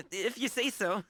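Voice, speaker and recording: A man's voice: high voice, pushed and bright, full character voice. An actor playing a cheerful, earnest young man in a video game, chatting in a casual, slangy way. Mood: playful, sheepish. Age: young adult. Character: cheerful, earnest young male lion-dancer and tea lover. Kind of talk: animated video game character dialogue.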